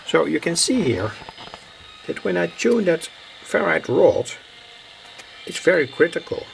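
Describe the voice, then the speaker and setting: A man giving an unscripted hands-on demonstration as a hobbyist, speaking haltingly in short phrases. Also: a little high, big pitch swings, gravelly, a little hiss or hum, rough recording. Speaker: hobbyist radio builder presenting. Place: indoor home workbench. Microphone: handheld camera's built-in microphone. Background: shortwave radio playing.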